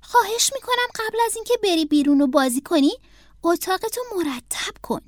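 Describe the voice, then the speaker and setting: Woman as an exasperated, high-voiced turtle mother. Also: expressive, dry recording, clean studio sound. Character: exasperated, firm adult female turtle mother.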